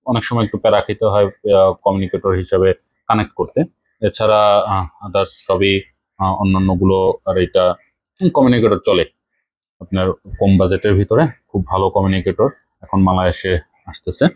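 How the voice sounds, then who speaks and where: A man's voice: on the low side, darkish tone, dry recording. A man with a Bangladeshi accent talking casually as a motorcycle vlogger reviewing gear. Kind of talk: casual product review and vlog talk.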